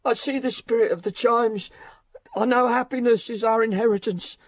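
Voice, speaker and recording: A man's voice: extremely high pitch, steady tone, moderate pace, dry recording. An actor playing a tender-hearted old working father, emotional and exclamatory, in a radio drama. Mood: fervent, grateful. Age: older. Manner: emotional, exclamatory, repeats phrases.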